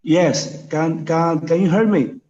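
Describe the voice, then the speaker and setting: A young Cuban man with a Spanish accent asks a question on a webinar, halting and repeating his words as he searches for English phrasing. His voice is fairly high. The call audio is dull and lo-fi. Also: steady tone, darkish tone. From Cuba. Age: young adult. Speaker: neurosurgeon asking a question. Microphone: video call.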